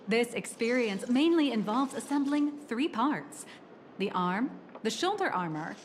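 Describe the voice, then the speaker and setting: A woman's voice: on the high side, a little hiss or hum. A woman playing a peppy, theatrical corporate guide in a video game, explaining things like a showy presenter. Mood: bright, instructive. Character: peppy female corporate guide to a robot-building experience. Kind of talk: scripted video game character acting. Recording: loud music under the voice.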